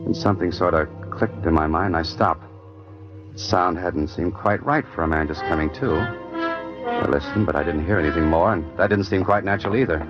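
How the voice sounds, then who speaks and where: A man's voice: deep voice, normal speed, close to an everyday voice. A man playing an earnest, uneasy young office clerk in an old radio drama, hesitant, polite and plain-spoken. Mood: tense, confessional. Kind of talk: 1940s radio drama acting with first-person narration. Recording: muffled old radio recording with music.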